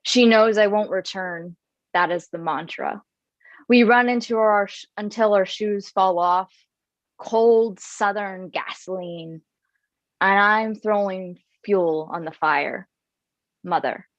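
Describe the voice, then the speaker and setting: Woman poet, slow deliberate recitation, dry dull lo-fi sound. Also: mid-range voice, no echo. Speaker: poet performer. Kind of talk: spoken word poetry reading.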